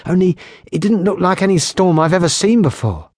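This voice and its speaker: A panicked young patrol soldier, as a male narrator voices him in an audiobook. He stammers and stumbles in a husky, strained, high voice. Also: animated, a little fast, a little mellow, no room sound, clean studio sound. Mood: frightened. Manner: stammering, fearful.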